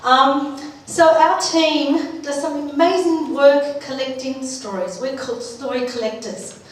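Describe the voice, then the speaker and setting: A woman from Turkey speaks to a conference in a fairly high voice. Her delivery is informal, expressive and heartfelt, like personal storytelling. The sound is distant and heavily echoing, with faint noise. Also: measured pace. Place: large echoey room at a live event. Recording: echoey hall, distant mic, faint noise.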